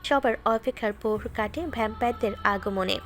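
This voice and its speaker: A woman reading a scripted fantasy story as its narrator, in a steady, dramatic storytelling manner. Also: fairly high pitch, fairly quick. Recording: music bed behind.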